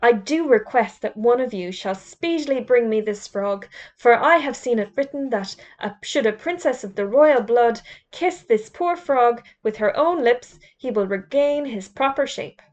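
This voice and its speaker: A woman voicing a young schoolgirl playing a bossy princess, in a high, formal, haughty voice. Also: animated, a little slow, hardly any pauses, highs cut off. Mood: grand.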